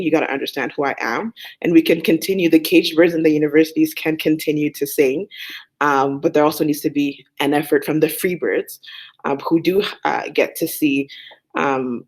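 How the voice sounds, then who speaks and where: A young woman whose first language is French, giving an earnest open mic talk with many pauses and fillers. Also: low voice, a little mellow. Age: young adult. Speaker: student speaker.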